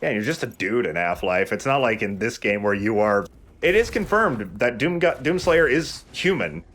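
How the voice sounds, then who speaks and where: A man's voice: on the low side, sing-song, normal speed, a bit bright, slight background noise. A man hosting a let's play, giving casual, jokey game commentary with friends. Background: game sound.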